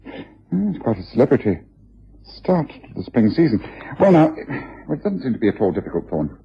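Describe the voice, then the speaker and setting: A muffled, rough old radio drama recording of a shrewd English gentleman detective. His upper-class delivery is precise, decisive and highly animated, with theatrical self-assurance. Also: normal speed, exaggerated voice. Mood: musing, deductive.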